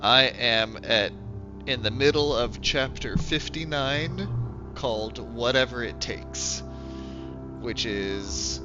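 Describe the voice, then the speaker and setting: A congested man who is losing his voice, chatting casually and self-mockingly as he streams a game. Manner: low-key, rambling, self-mocking. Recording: dull lo-fi sound with music behind. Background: music.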